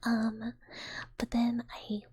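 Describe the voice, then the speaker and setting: A woman whispering softly and breathily in a playful, rambling, casual livestream chat. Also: on the high side, completely flat delivery, takes it slowly, breathy edge, no echo, studio quality. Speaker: live streamer.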